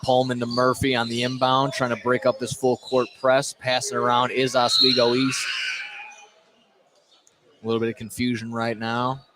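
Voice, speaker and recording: A man's voice: medium pitch, completely flat delivery, moderate pace. A man calling a live basketball game on radio as the play-by-play announcer. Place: indoor gym at a basketball tournament. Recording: noisy gym ambience behind.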